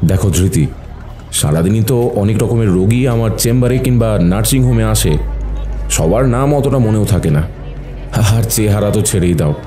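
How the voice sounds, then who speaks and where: A man's voice: fairly low pitch, lively intonation, normal speed, dark tone, natural voice. A man acting a shrewd, observant doctor who helps a detective friend in an audio mystery drama. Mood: reflective, measured. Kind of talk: Bengali audio story acting.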